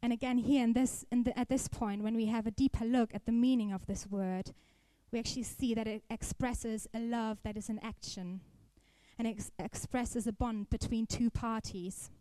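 A woman's voice: on the high side, fairly even intonation, normal speed, warm tone, no room sound. Young woman teaching from scripture. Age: young adult. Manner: careful, explanatory, occasional restarts. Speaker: student speaker at a church service. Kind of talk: explanatory sermon teaching.

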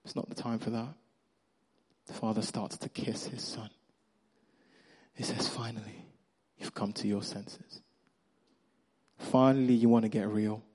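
A man with a Nigerian accent preaching a sermon, acting out the characters' lines and swinging between loud emphasis and quiet, hushed moments. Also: fairly low pitch, measured pace, pauses often, husky, rough recording. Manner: animated storyteller, acts out Bible characters' lines.

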